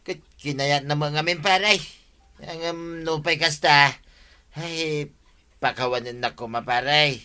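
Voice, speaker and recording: A man's voice: a little high, takes it slowly, sharp tone, smooth. A man playing a sheepish, placating villager in a comedy radio drama, with an Ilocano accent.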